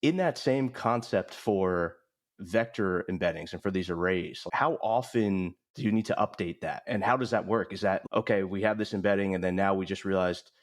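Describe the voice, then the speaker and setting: A man's voice: deep voice, normal speed. A man hosting a podcast, asking curious, loosely phrased technical questions. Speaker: podcast host. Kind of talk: interview questions.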